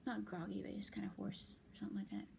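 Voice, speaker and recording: A tired young woman venting late at night to her viewers, soft and halting, often half-whispered, with a slightly hoarse voice and many fillers. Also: mid-range voice, little pitch movement, noisy background. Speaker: vlogger.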